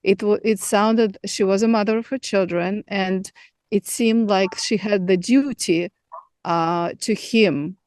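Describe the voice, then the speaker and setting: A middle-aged woman from Moscow with a Russian accent, a native Russian speaker, answering interview questions thoughtfully with hesitant pauses. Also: mid-range voice, fairly even intonation, darkish tone, no echo. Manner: thoughtful, many fillers and restarts. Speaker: filmmaker guest.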